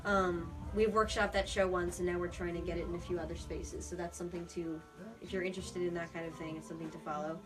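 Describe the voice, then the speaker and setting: Low-voiced American woman, far from the mic. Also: little pitch movement, fast. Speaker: interview guest, puppeteer and theater artist. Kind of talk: casual conversational interview. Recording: distant mic with music behind.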